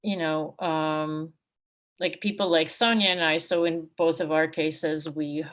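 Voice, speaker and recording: A woman from Russia speaking unscripted in an academic discussion, reflective and halting, with many hedging asides. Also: sits low, steady tone, a bit bright. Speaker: book co-editor and panel speaker.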